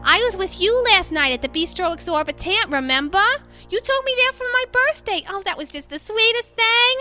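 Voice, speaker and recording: A woman's voice: very high-pitched, lively intonation, normal speed, bright, forceful tone, soft background music, heavily acted. A woman playing a detective's sweet, ditzy, gushing young girlfriend in a comedic radio drama, chatty and naive. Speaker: voice actor.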